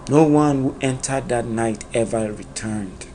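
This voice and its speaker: A man narrating a dark epic folktale in a solemn, dramatic storytelling manner. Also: medium pitch, unhurried, slight background noise.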